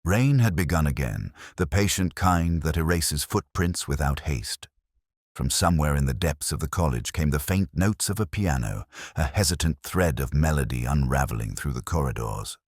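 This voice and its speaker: Really deep male voice reading a detective story, solemn and measured but lots of up and down, dry sound. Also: expressive, darkish tone, no echo. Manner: measured, literary, solemn delivery. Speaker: narrator. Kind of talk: scripted first-person detective mystery narration.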